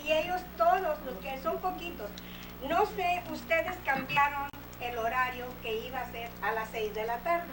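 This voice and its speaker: A woman's voice: sits high, moderate pace. A woman speaking during public comment at a council meeting, insistent and impassioned, slipping in English words. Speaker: resident addressing the city council. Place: council chamber. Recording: echoey, distant, noisy room recording.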